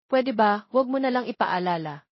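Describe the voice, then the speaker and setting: A woman's voice: fairly high pitch, expressive, moderate pace, no echo, muffled recording. A woman voicing a feisty, sassy young heroine of a romance story, indignant and questioning, with a Filipino accent. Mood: coy, huffy. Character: feisty, easily smitten young woman playing hard to get.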